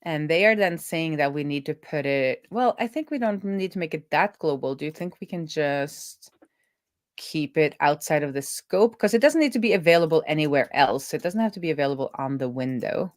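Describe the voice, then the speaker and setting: A woman with a low voice gives a casual coding commentary. She talks through each step as if to herself, in the manner of a developer on a live stream. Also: animated, normal speed. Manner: thinking aloud, talking through steps to herself.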